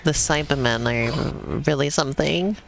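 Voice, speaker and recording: A man with a gravelly, fry-laden voice chats in an easygoing way while playing a video game. He asks curious questions at a relaxed pace. Soft game music plays in the background. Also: a little high, measured pace. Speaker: streamer co-host. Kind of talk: casual chat while gaming.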